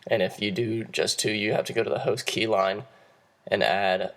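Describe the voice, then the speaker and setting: A hoarse young man, losing his voice, giving a class presentation and explaining steps in a halting way with frequent restarts. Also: fairly low pitch, fairly even intonation, fairly bright tone. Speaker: student presenter.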